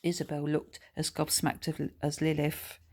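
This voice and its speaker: A woman's voice: low-pitched, monotone, normal speed, darkish tone. A woman reading her own children's book aloud as scripted story narration.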